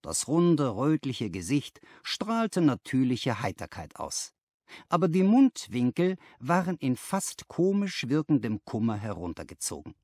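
A man's voice: medium pitch, sing-song, a little slow, darkish tone, no echo, studio quality. A man reading literary fiction aloud as a scripted audiobook narrator.

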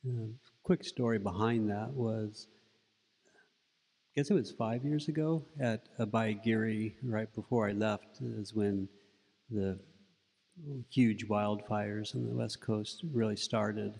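Middle-aged male monk, soft-spoken, low mellow tone, unhurried storyteller, reverberant hall. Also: vocal fry. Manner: relaxed storytelling with frequent restarts. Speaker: Buddhist monk giving a talk. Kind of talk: informal dharma talk. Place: indoor meditation room with paper screens. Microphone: stand mic close in front.

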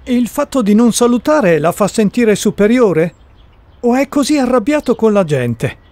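A man's voice: sits high, very animated, moderate pace, a little mellow, dry recording, voice pushed up. A man voicing a curious, earnest male interviewer in a radio play, courteous and puzzled in his questioning, with music under the voice. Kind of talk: radio drama dialogue.

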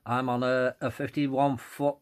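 A man making casual radio contacts with call signs, in halting procedural phrasing. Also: medium pitch, flat delivery. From England. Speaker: amateur radio operator.

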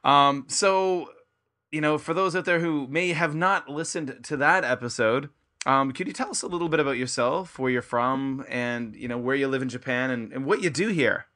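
Upbeat podcast host, bright chatty man. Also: mid-range voice, moderate pace. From Canada. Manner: upbeat, chatty, addresses listeners as guys. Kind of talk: casual podcast intro and interview. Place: his home in Kobe, Japan.

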